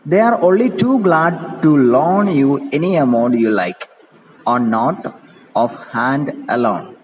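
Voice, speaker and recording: A man's voice: medium pitch, animated, slow pace, lo-fi sound. A man with an Indian English accent voicing a naive, agreeable young wife in a play read-aloud. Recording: echoey reverberant room with background noise.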